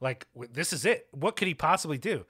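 A man co-hosting a casual film discussion, animated and swearing, acting out quotes in mocking voices. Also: on the high side, brisk, slightly rough. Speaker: film podcast co-host.

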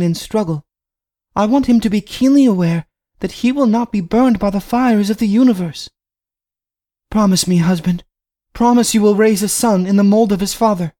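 A man's voice: sits high, mellow, pitched above the performer's own voice, natural voice. A man voicing a devoted, pregnant young wife who speaks earnestly and pleads with her husband.

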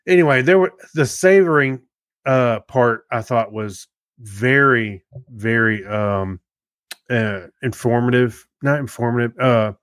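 A man's voice: medium pitch, measured pace, fairly bright tone, no echo, studio quality. A middle-aged man co-hosting a podcast in casual chat, talking in a rambling way with many restarts and fillers. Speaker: podcast co-host.

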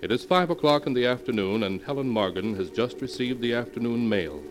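Guy doing a grave, melodramatic old radio mystery announcer, drawn-out words, bit of hiss on it. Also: mid-range voice, lively intonation, natural voice. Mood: tense, hushed. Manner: solemn, melodramatic, drawn-out delivery. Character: solemn male narrator of macabre tales. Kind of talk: 1940s radio horror narration. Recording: noisy vintage 1940s radio recording.

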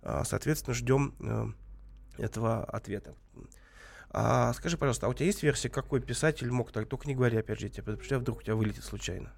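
Halting male radio host with a cold. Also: mid-range voice, vocal fry. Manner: halting, many filler sounds. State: has a cold. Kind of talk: live radio interview. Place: radio studio.

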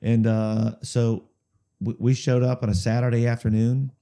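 A man chatting in an interview about his music, talking in a halting way with lots of fillers, restarts and repeated words, chuckling now and then. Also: mid-range voice, flat delivery, mellow. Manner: chuckles through stories. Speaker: musician guest. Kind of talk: casual rambling interview storytelling.

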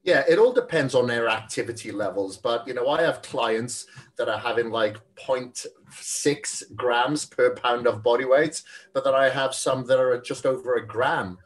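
A man's voice: medium pitch, normal speed. Welsh man, somewhat distant from the mic. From Wales. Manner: friendly, chatty, laughs easily. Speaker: guest, fitness trainer. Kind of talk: casual interview answers.

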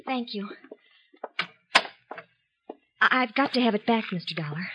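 An actress playing a frightened young woman, pleading urgently and haltingly in a 1950s radio drama, on a dull old recording. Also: a little high, animated, background noise, natural voice. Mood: anxious, pleading. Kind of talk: 1950s radio melodrama acting.

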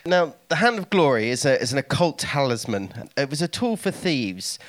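A man's voice: medium pitch, sing-song, moderate pace. A man speaking as a guest, giving an informative, explanatory talk. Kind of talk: informative talk with dramatic readings.

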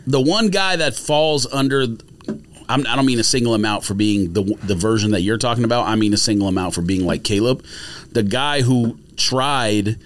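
A man's voice: mid-range voice, normal speed. A man in a casual sports debate, speaking emphatically and with opinions, using slang as a podcast host.

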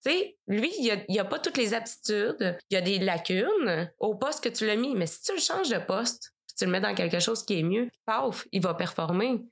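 A woman with a Québécois French accent talks easily as a podcast guest. She rambles through her points with lots of fillers and laughs often, speaking at a mid pitch. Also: animated, moderate pace, creaky, few pauses, slightly rough. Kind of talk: casual conversation.

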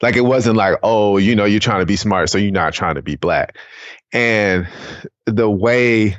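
A man's voice: fairly low pitch, unhurried. An American man from the Atlanta area, a podcast guest casually telling personal stories in an informal, meandering way, with muffled, roomy sound. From Atlanta area, Georgia, United States. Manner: informal, meandering, many conversational tags. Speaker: podcast guest, education non-profit founder.

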